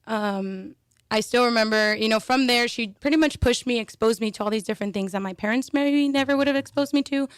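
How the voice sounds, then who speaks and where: A woman's voice: on the high side, fairly quick, clear, resonant tone, no room sound, studio quality. A young woman guest talking casually in an interview, with many fillers and restarts. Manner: chatty, with frequent fillers and restarts. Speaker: panel guest.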